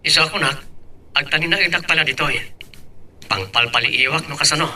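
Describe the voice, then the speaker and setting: Man acting a bright, forceful young Filipino son. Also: a little high, fairly quick. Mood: earnest. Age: young adult. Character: earnest, ambitious, dutiful young son. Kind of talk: amateur radio-style drama acting. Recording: distant microphone.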